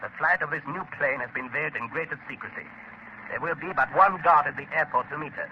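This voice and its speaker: A sharp, forceful radio spy chief. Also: male voice, a little high, fast talker. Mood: smugly confident. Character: ruthless, commanding male saboteur spy chief, smugly cunning. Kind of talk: 1930s radio drama acting. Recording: muffled, noisy 1939 radio broadcast.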